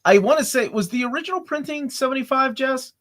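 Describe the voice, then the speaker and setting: A man's voice: very high voice, fast. A man on a comics podcast panel, chatting casually and asking the others questions, with many fillers. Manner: chatty, lively, asks questions, many likes and ums. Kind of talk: casual chat.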